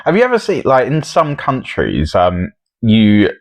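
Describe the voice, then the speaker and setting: A man's voice: medium pitch, wildly swooping pitch, unhurried, no room sound. A man co-hosting a comedy podcast, chatting casually and keeping score. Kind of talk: casual comedy panel chat.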